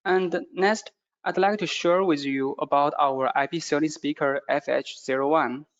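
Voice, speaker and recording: A man with a fairly high voice delivers a webinar-style product presentation. He is a non-native English speaker and hesitates often as he explains. The audio is somewhat dull and lo-fi. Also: fairly even intonation. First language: not English. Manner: halting delivery with frequent hesitations.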